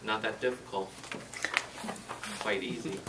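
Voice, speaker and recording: A man's voice: fairly low pitch, steady tone, a little slow. A man leading a club meeting, thanking volunteers and encouraging members in a friendly way. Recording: distant mic with loud noise.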